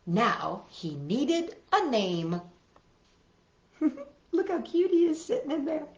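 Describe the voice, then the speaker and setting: A woman hosting a children's storytime, reading picture books aloud with expressive, exaggerated delivery. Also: sits high, takes it slowly, lo-fi sound. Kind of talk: animated picture-book read-aloud and sing-along for young children. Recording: roomy echo with guitar music.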